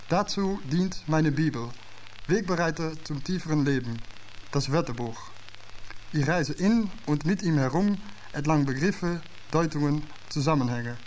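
A man's voice: on the high side, slight background noise, rough recording. Man reading a wry radio essay. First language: not German. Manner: measured, literary delivery with slightly non-native phrasing. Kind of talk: scripted reading of an archive radio piece.

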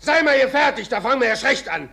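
A man acting an enraged, vengeful poor weaver in a riot, shouting agitatedly in dialect in a German radio play. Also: very high voice, expressive, quick pace, vocal fry, full character voice. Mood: enraged, riotous. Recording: noisy old radio play, crowd din.

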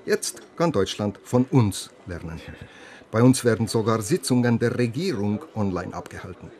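A man's voice: lowish voice, animated, moderate pace, darkish tone, natural voice. An actor playing a proud, matter-of-fact Estonian policeman, formal and a little condescending, in a radio drama. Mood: cool, proud. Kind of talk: German radio crime drama dialogue.

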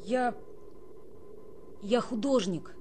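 A woman's voice: very slow, a little mellow, a little hiss or hum. A woman playing a sensitive, artistic young army conscript who has turned into a girl, earnest and plaintive in a radio drama. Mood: indignant, anguished. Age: young adult. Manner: earnest, plaintive, high-flown intellectual speech.

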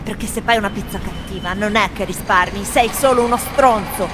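An actress playing foreign tourists who address a city in a theatrical radio recitation. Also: female voice, mid-range voice, a little fast, lightly acted. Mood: bitter, blunt. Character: foreign tourists addressing blessings and curses to Rome. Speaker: performer in a radio theatre piece. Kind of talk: theatrical recitation of collected testimonies. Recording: voice over a music bed.

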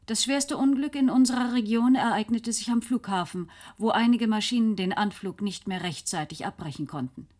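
An actress playing a newsreader in a German radio drama, delivering a formal, factual, measured bulletin. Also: female voice, fairly high pitch, darkish tone, natural voice. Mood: sober, official. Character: female newsreader announcing a catastrophe.

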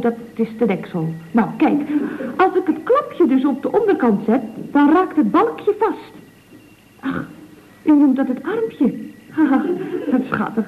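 A naive, chatty female secretary in a radio comedy. Her voice sits high and moves up and down in a very lively way, rambling and girlishly guileless. The old recording is thin and tinny, with some noise. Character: chatty, naive, cheerfully helpful female office secretary on the telephone. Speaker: actress in a radio comedy serial. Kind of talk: 1970s Dutch radio comedy acting.

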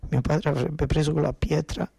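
A man with a southern Italian accent from Basilicata delivers a theatrical first-person monologue, colloquial and dialect-tinged, with repeated phrases and dramatic pauses. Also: on the high side, fairly even intonation, creaky, dark tone, husky, dry recording. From southern Italy. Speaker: stage storyteller and monologue actor.

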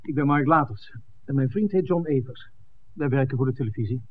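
An actor playing an eager, easygoing television director, speaking in a breezy, exclamatory 1960s Dutch radio drama style. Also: male voice, medium pitch, a little fast, phone-quality sound, close to an everyday voice. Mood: sociable. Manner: exclamatory, breezy, chuckling between phrases. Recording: thin, noisy 1960s radio recording.

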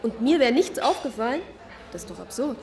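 A woman's voice: on the high side, very animated, brisk, background noise. An actress playing a hesitant yet adamant girlfriend of an accused man, giving an interview in a radio drama. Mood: adamant, protesting. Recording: long reverb, music behind.

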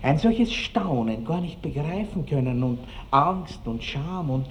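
Pompous, lecherous Austrian baron, noisy recording. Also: male voice, on the high side, animated, measured pace. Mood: gloating. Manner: pompous, rambling, relishing every word. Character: boastful lecherous country baron, pompous and earthy. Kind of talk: classic radio drama acting. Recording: noisy old 1960s radio drama recording.

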